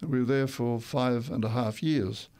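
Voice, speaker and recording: A man's voice: medium pitch, a little slow, darkish tone. An older man who grew up in Tonga, telling his life stories in a radio interview, rambling a little and correcting himself as he goes. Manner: rambling, with restarts and self-corrections. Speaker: interview guest.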